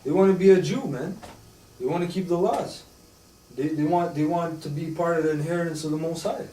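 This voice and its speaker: An emphatic man holds forth in a group discussion, ending almost every line with "man". His voice sits a little high and dark. He sounds distant in a roomy space with faint noise behind. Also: fairly quick. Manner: emphatic, repeats "man" often. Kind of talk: casual religious discussion. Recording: echoey room from far off with faint noise.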